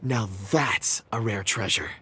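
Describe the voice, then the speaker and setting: A man's voice: fairly low pitch, slow pace, pushed and bright, husky, dry recording, full character voice. A man playing a courteous, enthusiastic young gem connoisseur in a video game, with polite and florid delivery. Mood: delighted.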